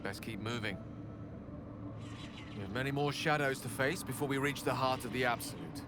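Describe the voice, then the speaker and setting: An actor playing a learned, wordy wizard in a video game, eloquent and musing aloud. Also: male voice, mid-range voice, normal speed, natural voice. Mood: resolute. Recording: game audio with clear background noise.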